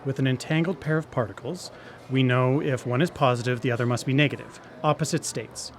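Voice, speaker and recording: An earnest engineer, played by a man in a radio drama, with a mid-pitched voice. He explains patiently and a little hesitantly, with noticeable ambient noise behind him. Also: steady tone, brisk, lightly acted. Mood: earnest, explanatory. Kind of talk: live radio drama acting.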